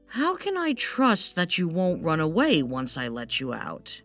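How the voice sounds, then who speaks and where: A woman's voice: deep voice, huge pitch swings, measured pace, a bit bright, soft background music, full character voice. A woman voicing a timid female bat in a storybook tale, a lonely and cautious servant who speaks hesitantly. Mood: wary. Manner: hesitant, anxious. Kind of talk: storybook character voice.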